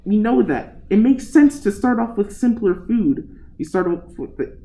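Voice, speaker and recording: A man's voice: high-pitched, lively intonation, unhurried, creaky, mellow, reverberant, a little hiss or hum, heavily acted. A man playing an uneasy, grudging junior scientist in an amateur radio play, questioning and protesting. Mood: reluctant.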